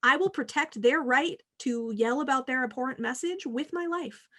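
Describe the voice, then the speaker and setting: A woman hosting a casual panel conversation, talking in an animated, emphatic way. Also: high-pitched, moderate pace. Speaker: podcast host.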